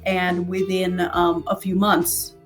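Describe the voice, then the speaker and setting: A woman's voice: lowish voice, monotone, slow pace, faint music behind. An American woman around forty, from Connecticut, talking casually as an interview guest on a video call and telling her own story. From Connecticut, United States. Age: 30s or 40s. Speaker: interview guest, author and teacher.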